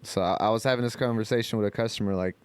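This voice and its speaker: Low, clear-voiced man chatting casually. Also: fairly even intonation, very fast. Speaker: podcast co-host. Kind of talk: casual group chat with anecdotes and slang.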